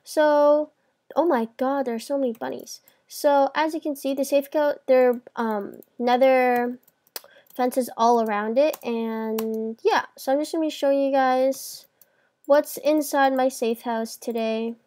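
A woman's voice: high-pitched, fairly even intonation, a little slow, mellow. A woman hosting a video game tour, chatting informally as she gives casual commentary on what she is showing.

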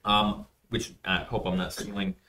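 Deep-voiced man reporting at a board meeting. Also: flat delivery, normal speed, pushed and bright. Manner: conversational, many fillers and restarts. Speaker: school administrator giving a facilities report. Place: school library board meeting room. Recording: distant, roomy meeting audio.

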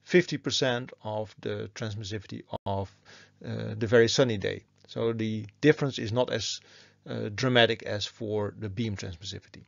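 A man's voice: lowish voice, steady tone, moderate pace, gravelly, no echo, dull audio. A man giving a methodical, step-by-step explanatory lecture as a university teacher over slides.

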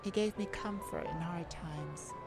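Woman playing Vivian, slow-talking flirtatious lady thief. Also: on the low side, lightly acted. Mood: wistful, tender. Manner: coy, teasing, leisurely with breathy chuckles. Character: Vivian, flirtatious charming glamorous lady thief, sweetly romantic. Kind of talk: romantic ASMR roleplay addressed to the listener. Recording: music behind the voice.

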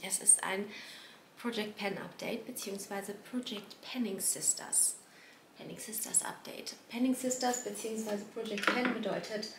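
A woman's voice: mid-range voice, a bit bright. A woman in her forties talks through a beauty product update in a chatty, rambling way, correcting herself often. A slight cold gives her voice a nasal edge. She was recorded at home on a fixed camera, with a roomy echo and a faint background hiss. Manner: chatty, rambling, self-correcting with many fillers. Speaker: beauty vlogger. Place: home room, indoors.

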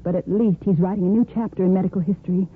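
A woman's voice: fairly low pitch, steady tone, racing, breathy edge. An actress playing an earnest, romantically torn young woman doctor in a 1950s radio soap opera, with dramatic phrasing. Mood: admiring, intense. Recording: noisy tinny 1950s radio recording.

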